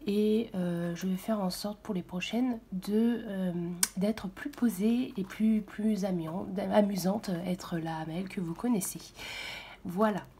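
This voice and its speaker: Hoarse woman vlogger, hushed and halting, dark mellow tone, faint hiss behind. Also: mid-range voice, steady tone. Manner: soft, half-whispered, hesitant with many fillers. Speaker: budget vlogger. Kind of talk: casual budget walkthrough. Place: at home, early morning.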